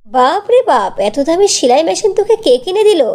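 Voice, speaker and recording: A woman's voice: extremely high pitch, sing-song, moderate pace, darkish tone, full character voice. A woman voicing a cruel, bossy stepmother in a fairy-tale cartoon, scolding, sarcastic and demanding. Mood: shocked, suspicious.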